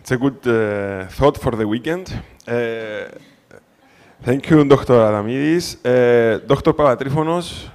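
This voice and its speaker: A man with a Cypriot Greek accent moderating a conference panel discussion, speaking haltingly with many filler sounds. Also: mid-range voice, darkish tone. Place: conference hall.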